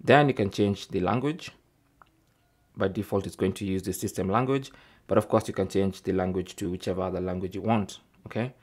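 A man's voice: deep voice, a little fast. A man presenting a tech tutorial, narrating step by step in a methodical, informal way. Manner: methodical, informal, often tags phrases with "okay".

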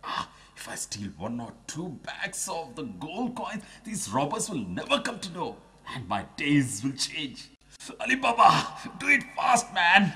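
An eager young woodcutter gasps and exclaims his way through a children's cartoon. His voice is husky and rough, and his pitch leaps about as he marvels and frets. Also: wildly swooping pitch, a little slow, bright, forceful tone, full character voice. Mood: amazed. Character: poor young woodcutter, excitable and timid. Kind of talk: animated children's story dub.

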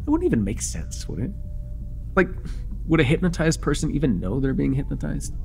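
A young man playing an excitable vampire in an immersive roleplay, casual and halting, insistent and teasing as he repeats himself. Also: on the high side, gravelly, faint music behind. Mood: teasing, playful. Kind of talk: immersive ASMR roleplay acting.

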